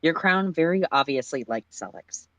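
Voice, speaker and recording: A person reading a story aloud on a livestream in an animated way, sometimes stumbling and slipping into casual asides. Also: medium pitch, moderate pace, dry recording, poor audio.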